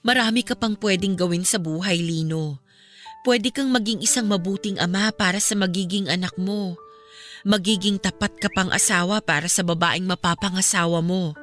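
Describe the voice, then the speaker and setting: A woman's voice: lowish voice, moderate pace, a bit bright, soft background music, lightly acted. An actress playing a caring, steadfast young Filipino woman who consoles a friend in a radio drama, earnest and reassuring. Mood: earnest encouragement.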